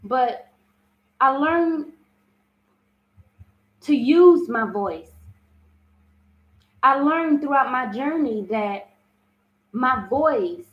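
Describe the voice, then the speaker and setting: A woman's voice: high-pitched, animated, very slow, long pauses, reverberant, dull audio. A woman hosting a live self-love talk, with a strong, big voice, speaking earnestly and often asking "you understand?". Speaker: spiritual self-love host. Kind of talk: live-streamed motivational talk.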